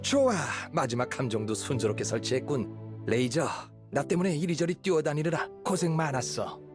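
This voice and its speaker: A man's voice: a little high, lively intonation, music in the background, close to an everyday voice. A man as a kindly fatherly hunter. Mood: friendly, explaining. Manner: measured, reassuring, explanatory. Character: kindly fatherly middle-aged hunter, steady and considerate. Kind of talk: anime game voice acting.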